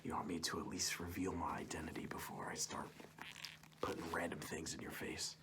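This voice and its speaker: A man playing a goofy, menacing kidnapper in an ASMR roleplay, coaxing and mock-reassuring in a breathy, hushed whisper. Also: sits low, level tone, fairly quick, lightly acted. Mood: hushed reassurance. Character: goofy menacing male kidnapper soothing his captive to sleep.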